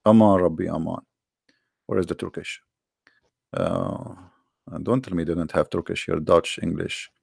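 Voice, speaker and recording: A man's voice: low voice, normal speed, creaky, pauses often, darkish tone, husky, no room sound, studio quality. A man hosting an argumentative debate, challenging and mocking his caller with repeated questions. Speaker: debate host.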